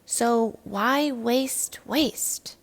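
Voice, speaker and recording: A woman's voice: on the high side, extremely slow, vocal fry, heavily acted. A woman voicing a patient, enthusiastic male schoolteacher in a children's storybook, explaining things emphatically. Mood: emphatic.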